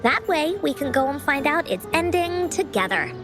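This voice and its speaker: A cheerful little girl from a video game, chirpy and talking about herself by name. The voice sits very high and bounces up and down with lively swings. Game music plays clearly behind her. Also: moderate pace. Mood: warm. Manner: bubbly, refers to herself by name. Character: cheerful childlike girl who talks of herself in third person.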